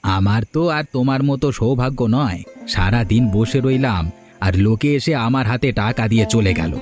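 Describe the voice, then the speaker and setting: A man's voice: medium pitch, brisk, darkish tone, soft background music, close to an everyday voice. A man voicing a jealous, short-tempered poor farmer in a cartoon, sour and sarcastic. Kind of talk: Bengali cartoon voice acting.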